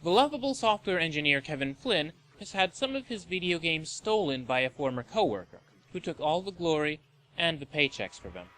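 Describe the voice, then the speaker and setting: A man's voice: a little high, sing-song, brisk, sharp tone, rough recording. A man reading a scripted review narration as the host of a countdown list video.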